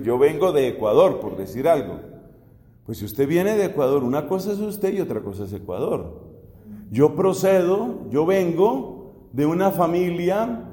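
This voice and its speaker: A man's voice: medium pitch, dark tone. A Colombian man in his fifties, a Dominican friar teaching a theology class, explaining step by step with questions and everyday examples. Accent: Colombian Spanish. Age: 50s. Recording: echoey hall with long reverb.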